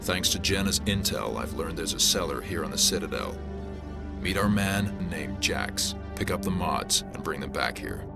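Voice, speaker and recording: A hard-nosed male alien detective in a video game. His deep voice has a gravelly fry, and he is brusque and pragmatic, with music clearly playing behind him. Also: expressive, normal speed. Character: blunt, hard-nosed alien police detective, adult man.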